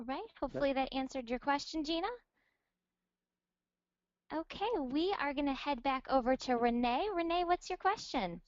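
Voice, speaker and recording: The woman who runs a conference call and switches between callers, high-voiced, on a slightly dull line. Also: normal speed, no echo. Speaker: call host and moderator. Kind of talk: upbeat moderating of a live call.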